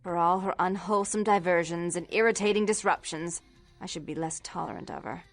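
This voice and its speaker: A low-voiced, haughty vampire matriarch played by a woman for a video game. She speaks formally and sternly, like an elder sister used to being obeyed. Music plays softly behind her. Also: brisk, dry recording, close to an everyday voice. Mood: restrained, businesslike. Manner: formal, haughty, clipped articulate speech. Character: stern, imperious vampire club owner, indignant elder sister.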